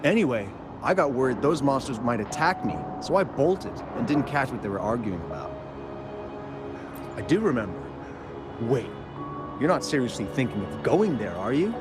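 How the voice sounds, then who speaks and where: Man acting an animated, chatty game miner. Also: mid-range voice, moderate pace, close to an everyday voice. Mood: nervous, worried. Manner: animated, chatty, hesitant in places. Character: friendly excitable male miner, eager eyewitness. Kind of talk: video game story dialogue. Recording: music clearly behind the voice.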